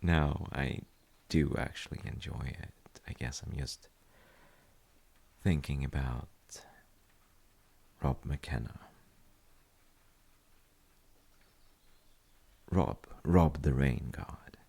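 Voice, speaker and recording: A man playing a gentle, thoughtful dad in an intimate audio roleplay, speaking hesitantly and softly with a gravelly, husky voice. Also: very deep voice, takes it slowly, long pauses, full character voice. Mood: musing, gentle. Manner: hesitant, musing, soft-spoken. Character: gentle, thoughtful dad comforting his child. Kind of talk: intimate audio roleplay, slow bedtime chat.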